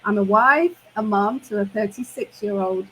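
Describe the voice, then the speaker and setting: A woman's voice: mid-range voice, moderate pace, a bit bright, slight background noise, rough recording. A woman in her fifties from the United Kingdom, co-hosting a livestream chat in an upbeat way and leaning on repeated words for emphasis. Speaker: co-host and online business mentor.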